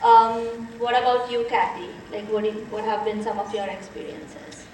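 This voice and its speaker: A woman's voice: mid-range voice, fairly even intonation, moderate pace, a little mellow, a little hiss or hum. A woman moderating a panel, upbeat as she prompts speakers with questions. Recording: echoey hall, distant mic.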